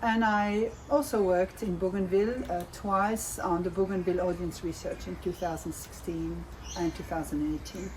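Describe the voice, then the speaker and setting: A woman's voice: lowish voice, steady tone, normal speed, few pauses, a little hiss or hum, rough recording. A middle-aged woman from Belgium presenting research results as a project evaluator, speaking with many hesitations and restarts.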